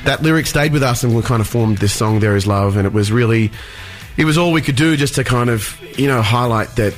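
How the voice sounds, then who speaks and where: A man's voice: medium pitch, a little fast, faint music behind. A middle-aged Australian man, a singer guesting on a radio interview, speaking earnestly and often repeating words as he searches for them.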